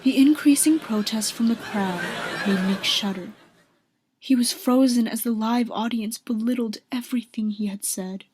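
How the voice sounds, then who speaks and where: A woman's voice: on the high side, normal speed, a little mellow, husky. A woman narrating a scripted radio drama as an earnest storyteller.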